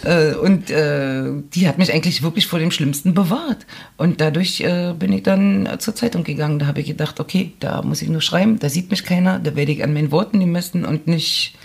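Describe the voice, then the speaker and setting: Gravelly, husky middle-aged woman telling stories. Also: deep voice, fairly quick. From East Germany. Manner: chatty anecdotal storyteller, laughs often. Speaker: podcast guest. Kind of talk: casual chat. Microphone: studio microphone, audio-only podcast.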